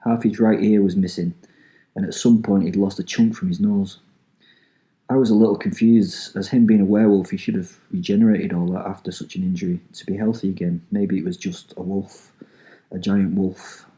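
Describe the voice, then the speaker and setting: A man's voice: low-pitched, little pitch movement, normal speed, warm tone, rough recording. A man with a Manchester accent, hosting a podcast, rambling casually with swearing and lots of ums, then reading out a story he wrote. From England. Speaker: podcast host.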